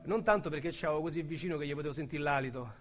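A man's voice: a little high, rapid-fire, close to an everyday voice. An actor with a Roman accent playing a crude, rambling male ex-convict in a radio drama. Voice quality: slangy, rambling, vulgar storyteller. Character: crude talkative male ex-convict recalling prison.

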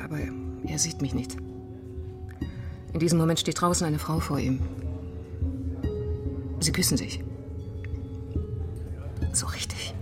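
An actress playing a betrayed, determined stewardess in a naturalistic radio drama, speaking in short, controlled sentences. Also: female voice, deep voice. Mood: pained recounting. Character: betrayed, determined airline stewardess consulting a detective. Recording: music under the voice.

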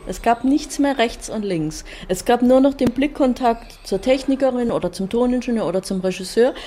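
A woman's voice: on the high side, normal speed, mellow, a little hiss or hum. A woman reminiscing in conversation in a reflective way, searching for her words as she goes.

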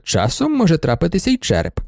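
A man's voice: on the high side, huge pitch swings, quick pace, creaky, no echo, studio quality, pitched above the performer's own voice, heavily acted. A man reading an audiobook, voicing an insolent young student who explains himself with mock courtesy. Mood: mock-polite. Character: insolent young male student, smooth talker. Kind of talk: audiobook character voice.

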